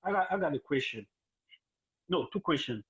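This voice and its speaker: A man's voice: on the high side, expressive, moderate pace, a bit bright, husky, dull audio. A man who is not a native English speaker asking a question over a video call, searching for words in broken English. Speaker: group member asking a question.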